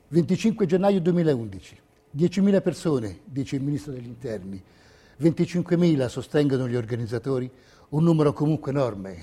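A man narrating history in a scripted, measured, declamatory theatrical style. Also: fairly high pitch, warm tone, husky. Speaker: stage narrator.

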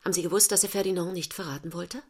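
An actress playing a cultured, earnest mother and writer in a naturalistic stage play, speaking in a measured, articulate and formally polite way. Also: fairly low pitch, breathy edge. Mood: earnest, insistent.

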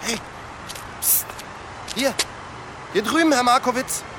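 A man's voice: very high-pitched, slow pace. An actor playing a furtive, defensive man in a car in a radio play. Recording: noisy car and street ambience.

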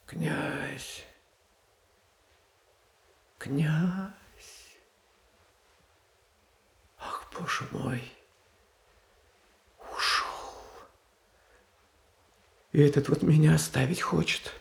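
Meek, fretful tsar, creaky voice. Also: male voice, on the high side, extremely slow, long pauses, exaggerated voice. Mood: distraught. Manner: hesitant, fretful, coaxing, repeats himself. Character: meek, kindly, anxious tsar of old Russia, pleading peacemaker. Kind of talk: theatrical radio drama acting.

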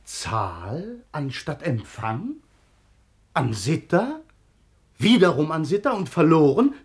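An actor playing a blunt, agitated treasurer to a sultan in a 1950s German radio play, exclamatory and grumbling. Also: male voice, fairly high pitch, very animated, slow pace, breathy edge, heavily acted. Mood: indignant.

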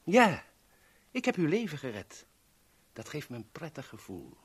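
A cold-blooded but courteous gunman from a 1970s radio drama. His voice is rough and husky, with lively intonation. He speaks formally and deliberately, drily polite. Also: fairly high pitch, heavily acted. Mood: polite, wry. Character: courteous, cold-blooded male gunman, dryly matter-of-fact.

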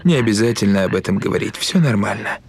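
A man's voice: fairly low pitch, expressive, fast, vocal fry. A man reading the lines of a worried husband who speaks tenderly to his wife on the phone, as a voice-over with crowd noise underneath.

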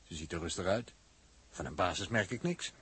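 A man's voice: low voice, brisk, fairly bright tone, breathy edge, exaggerated voice. A male actor playing a level-headed, dutiful, slightly formal space explorer in a 1960s Dutch radio play. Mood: calm, observant. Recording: very noisy old 1960s radio recording.

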